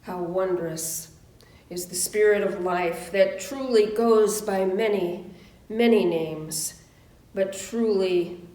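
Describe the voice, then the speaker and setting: A woman minister speaking a reverent prayer in a solemn, measured way, with deliberate pauses between phrases. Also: mid-range voice, mellow. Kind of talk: reverent spoken prayer and benediction. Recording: roomy echo.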